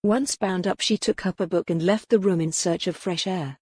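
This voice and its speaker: A woman's voice: on the low side, moderate pace, darkish tone, breathy edge, dry recording. A woman reading scripted fiction as a dramatic audiobook narrator.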